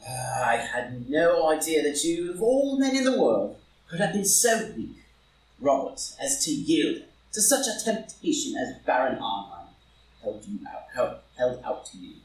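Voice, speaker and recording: A man playing a witty, flippant gentleman friend in a stage drama reading, arch and theatrical, candid and quietly judging. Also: mid-range voice, very animated, unhurried. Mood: disapproving. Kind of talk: live group play reading, stage drama. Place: indoor room, live in-person group reading. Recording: roomy live recording, distant from mic.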